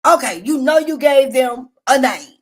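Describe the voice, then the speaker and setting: High-voiced woman livestream host, brash, cussing, storytelling. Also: normal speed. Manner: loud, profane, animated storytelling. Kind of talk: casual livestream commentary. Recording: low-quality phone stream.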